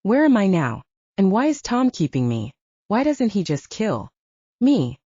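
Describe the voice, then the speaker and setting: A woman's voice: medium pitch, big pitch swings, unhurried, mellow, breathy edge, heavily acted. A woman voicing an irritable, principled young male wizard held captive, grumbling sarcastically in an audiobook. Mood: irritated. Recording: roomy, dull lo-fi audio.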